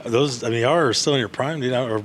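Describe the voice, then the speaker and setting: A man's voice: mid-range voice, a little fast, slight background noise. A man in his forties, a guest on a casual podcast, rambling with many restarts. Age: 40s. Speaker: podcast guest, actor and bar owner. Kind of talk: casual chat. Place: bar.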